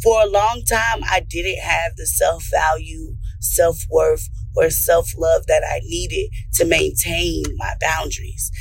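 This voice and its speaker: A woman's voice: low voice, steady tone, slow, creaky, hardly any pauses, slight background noise, rough recording. A woman radio show host talking conversationally to listeners.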